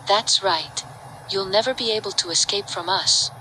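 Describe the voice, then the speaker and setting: A woman's voice: medium pitch, normal speed, pushed and bright, lightly acted. A woman voicing coaxing, menacing candy creatures in a horror story read aloud. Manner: sweet, sinister. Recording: clearly noisy background.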